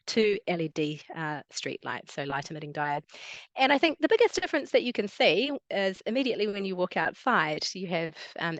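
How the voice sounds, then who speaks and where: A woman's voice: lowish voice, dry recording. A young adult woman with a New Zealand accent, giving a friendly, chatty online talk as a wildlife biologist, with frequent ums and restarts. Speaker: guest speaker, wildlife biologist.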